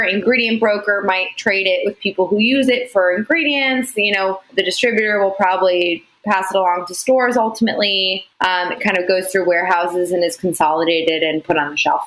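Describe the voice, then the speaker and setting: A young woman, a company founder, answering interview questions in a measured, explanatory way with frequent "you know" fillers. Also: mid-range voice, a bit bright, roomy sound, low-quality recording. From United States. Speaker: founder, podcast guest.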